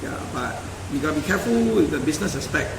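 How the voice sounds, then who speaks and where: A man's voice: fast, creaky. A man with a Singaporean accent talking casually and emphatically in a podcast discussion, often checking with the listener. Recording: echoey hall, distant mic, rumbling noise.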